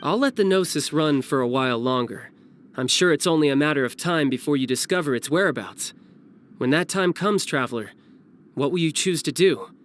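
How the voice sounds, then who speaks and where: A man playing a breezy, cunning young fighter in a video game, smooth-talking and nonchalant. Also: fairly high pitch, moderate pace, a little hiss or hum, natural voice. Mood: calculating. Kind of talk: video game cutscene voice acting.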